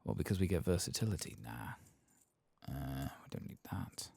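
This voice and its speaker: Very deep, gravelly, soft-spoken man. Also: expressive, moderate pace, no echo. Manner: murmuring, often slipping into whispers. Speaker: ASMR game streamer. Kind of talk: soft-spoken and whispered ASMR game commentary. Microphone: close microphone.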